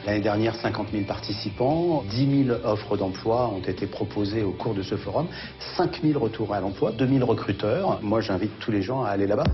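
A man giving unscripted news interview answers, hesitant, often pausing mid-phrase. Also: fairly low pitch. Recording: dull sound with music behind. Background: prominent background music.

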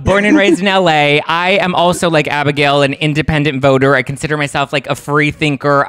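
A man's voice: mid-range voice, a bit bright, clean tone, dry recording. Young male podcast host, upbeat, close dry handheld mic. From Los Angeles. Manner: upbeat scripted introductions. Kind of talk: casual panel chat. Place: home kitchen. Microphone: handheld mic with headphones.

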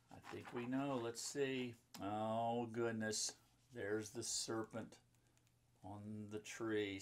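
Middle-aged man reading to children. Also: lowish voice. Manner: simple explaining to young listeners. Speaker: pastor and children's book author. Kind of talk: children's story reading with chatty asides. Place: indoor book-lined room at home or office.

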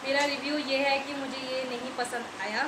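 A woman's voice: high voice, fairly even intonation, normal speed. A woman in her thirties chatting casually to camera as she unboxes and reviews an order. She rambles and repeats herself, and grows emphatic when she complains. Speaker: vlogger. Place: small tiled room indoors. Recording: echoey tiled room, loud background hiss.

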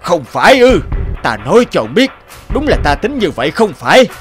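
Greedy blustering rich landlord for a cartoon, highish voice that swoops around, faint music. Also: male voice, sing-song, moderate pace. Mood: scheming, indignant. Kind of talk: Vietnamese animated folktale dialogue. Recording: music behind the voice.

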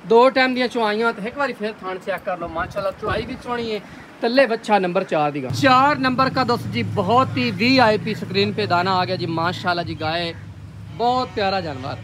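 A high-voiced man in his thirties with a Punjabi accent talks upbeat to viewers while walking an outdoor dairy yard. His patter is quick and praising, and a faint outdoor noise sits behind him. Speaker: video host showing cows for sale. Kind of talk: casual on-site sales presentation.

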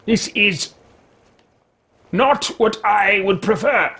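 Guy doing a snooty power-hungry wizard for a game, kinda high for a man, really drawn out, super pompous and old-timey wording. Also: slow, creaky, sharp tone, slightly rough, heavily acted. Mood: haughty, weary. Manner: pompous, theatrical, formal archaic diction. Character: arrogant, bitter, power-hungry male wizard. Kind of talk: in-character video game roleplay. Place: small home room, indoors.

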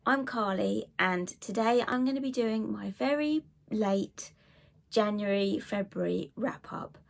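A woman in her thirties with a cold and a croaky voice, chatting to camera about books she read, with lots of ums and asides. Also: medium pitch, a little slow. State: hoarse from a cold. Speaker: book vlogger. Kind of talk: casual chat to camera. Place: living room with bookshelves.

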